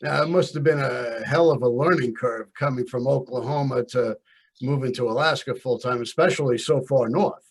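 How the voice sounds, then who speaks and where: A man hosting an outdoor podcast interview, relaxed and joking with some mild swearing. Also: mid-range voice, moderate pace, breathy edge. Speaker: podcast host. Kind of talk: casual interview.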